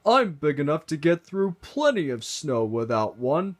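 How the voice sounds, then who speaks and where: A man's voice: on the high side, big pitch swings, measured pace, pitched above the performer's own voice. A man voicing a big, proud, boastful steam engine in a storybook reading. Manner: boastful, whiny when asked to work.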